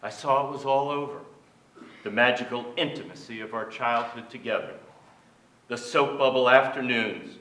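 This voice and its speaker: A melancholy older writer looks back on childhood in a literary staged reading. His medium-pitched voice rings around a theater, a little away from the microphone. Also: pushed and bright, distant mic. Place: large theater stage with audience. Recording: echoey theater stage, miked from afar.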